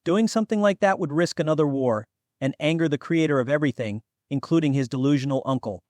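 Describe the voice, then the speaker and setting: A man's voice: on the high side, fast talker, darkish tone, dry recording, clean studio sound. A man reading scripted fantasy fiction as an audiobook narrator.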